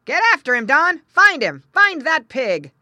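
Woman doing a farmer guy from a kids book, bossy but kind, loud calling voice. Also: medium pitch, lively intonation, moderate pace, dry recording, voice pushed up. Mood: urgent commands. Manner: calls out short emphatic orders. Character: brisk farmer man, commanding but kindly. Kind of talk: children's storybook character voice.

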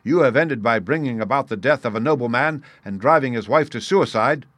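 Stern, self-assured gentleman detective, read by a male narrator for an audiobook: precise, formal, dry close sound. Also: mid-range voice, expressive, fast talker, no echo. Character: masterful English detective, composed, stern and assured. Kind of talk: audiobook character dialogue.

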